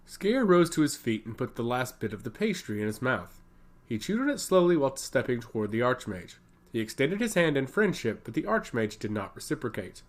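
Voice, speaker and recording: A man reading scripted fantasy narration as a storyteller. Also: medium pitch.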